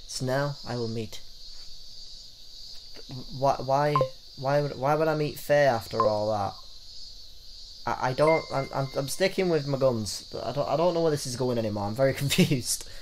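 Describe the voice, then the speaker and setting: A man's voice: medium pitch, normal speed, background noise. A man hosting a let's play, reading game text aloud in a theatrical way with casual reactions. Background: game music.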